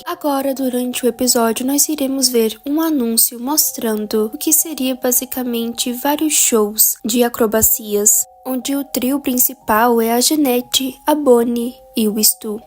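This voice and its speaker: A woman narrating a recap of a horror video series in a steady, explanatory way. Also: a little high.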